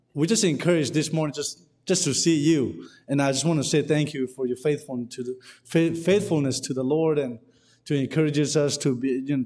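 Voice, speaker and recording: A man from Burma, a guest preacher opening a sermon, earnest and grateful, speaking haltingly with restarts. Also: on the high side, darkish tone, in a large hall.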